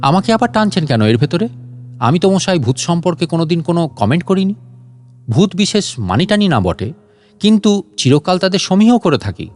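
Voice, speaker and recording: A man's voice: high-pitched, very animated, moderate pace, darkish tone, voice pushed up. A man playing an elderly, unflappable scientist in a story, chatty, wry and fussily indignant. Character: elderly, unflappable, sarcastic, talkative gentleman scientist.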